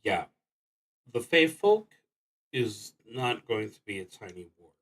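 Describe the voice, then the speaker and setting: A man streaming a solo roleplaying game, reading prompts aloud and thinking out loud in a deliberate, halting way that trails off into muttering. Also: mid-range voice, sing-song, husky, low-quality recording.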